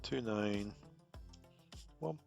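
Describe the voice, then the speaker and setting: Slow, gravelly British man walking through setup. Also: on the low side, faint music behind. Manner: relaxed, thinking aloud with fillers. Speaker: product trainer. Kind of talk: software configuration walkthrough.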